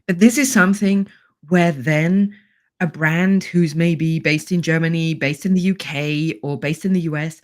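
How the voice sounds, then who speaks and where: A woman's voice: low voice, steady tone, unhurried, a little mellow. A woman hosting an interview podcast, thinking aloud and building her questions step by step in a conversational way.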